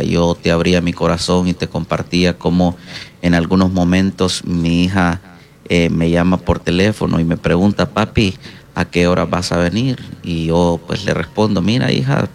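A middle-aged man with a Honduran Spanish accent, answering interview questions as a pastor in a halting, reflective way. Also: deep voice, vocal fry. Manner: halting, reflective, hesitant pauses. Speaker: guest pastor and counselor.

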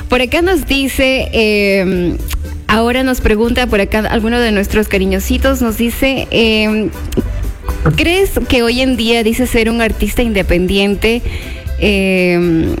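A woman's voice: fairly high pitch, slow, faint music behind. A woman with an Ecuadorian accent hosting a casual live interview in a friendly, effusive way. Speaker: host.

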